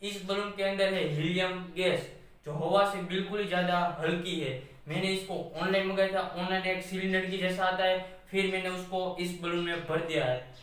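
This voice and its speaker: Young adult man, high-pitched voice, casual host delivery, very echoey distant recording. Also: fairly even intonation, moderate pace, a bit bright. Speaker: video host. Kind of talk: casual presenting to camera. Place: small bare indoor room. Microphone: camera mic a few steps away. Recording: very echoey room, off mic.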